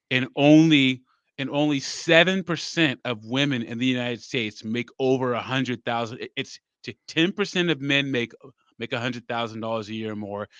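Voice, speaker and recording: Bright-voiced man on a dull, dry mic. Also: mid-range voice, no echo. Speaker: live stream participant. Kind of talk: casual group discussion.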